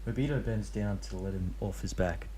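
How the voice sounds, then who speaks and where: A man's voice: lowish voice, normal speed, warm tone, slight background noise. A man reading fanfiction aloud with frequent slips and restarts, then chatting casually about it. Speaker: fanfiction reader.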